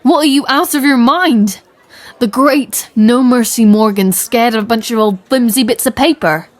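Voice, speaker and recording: A woman's voice: a little high, moderate pace, dry recording, a faint crowd, lightly acted. A woman playing a proud, skeptical female pirate captain in an audio roleplay, brusque, grumbling and dismissive. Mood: scornful, grumbling. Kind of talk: character audio roleplay acting.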